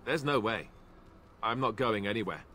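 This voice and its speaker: An actor playing an earnest, dutiful young warrior in a video game, speaking in a measured, matter-of-fact way. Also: male voice, on the low side, expressive, sharp tone, a little hiss or hum. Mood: resolute. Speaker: video game companion character. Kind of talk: video game dialogue acting.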